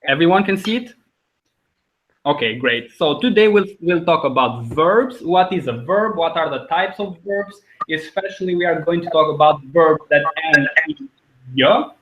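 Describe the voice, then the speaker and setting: A man's voice: fairly high pitch, lively intonation, normal speed, fairly bright tone, slight background noise. A young man from Albania with an Albanian accent, teaching an informal online language lesson as host. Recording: dull lo-fi video call audio.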